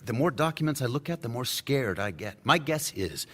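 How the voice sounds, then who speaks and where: An actor playing a wry, middle-aged lawyer and war veteran in a radio drama, talkative and argumentative. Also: male voice, medium pitch, expressive, normal speed, slight background noise, lightly acted. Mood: worried, businesslike. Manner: sardonic, chatty, argumentative. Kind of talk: naturalistic radio drama acting.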